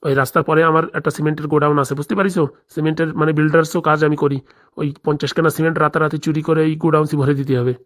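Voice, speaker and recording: A woman's voice: sits low, level tone, very fast, close to an everyday voice. A woman voicing a crooked, bossy male village boss in a comedy cartoon, scheming aloud with a rural Bengali accent.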